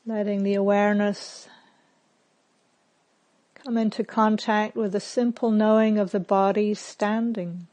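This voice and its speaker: Woman leading a guided meditation, really slow, mid pitch, short phrases w long gaps. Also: flat delivery, darkish tone. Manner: measured, instructional, with long pauses between short phrases. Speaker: meditation teacher.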